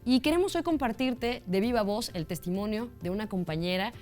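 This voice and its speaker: A woman's voice: mid-range voice, animated, brisk, a little mellow, faint music behind. A woman hosting a television talk show, asking questions in an informal, colloquial way. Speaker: TV show host. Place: TV studio.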